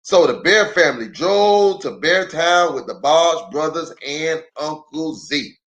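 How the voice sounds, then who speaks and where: Man, children's storytelling, slow pace, lively intonation, slightly high voice, expressive read-aloud. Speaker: pastor reading a storybook.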